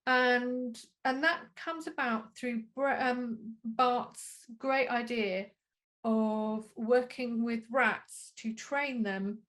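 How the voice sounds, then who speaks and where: A woman's voice: on the high side, fairly even intonation, drawn-out words, a bit bright. A woman hosting an online conference, politely and appreciatively thanking and introducing speakers from her home. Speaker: conference host. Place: at home, on a video call.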